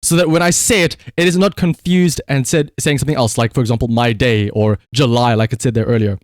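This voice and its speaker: A man with a South African accent commentating on a live gaming stream and calling out voice commands in an excitable way. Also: medium pitch, brisk, no echo, clean studio sound. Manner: animated, excitable, exclamatory. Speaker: livestreamer.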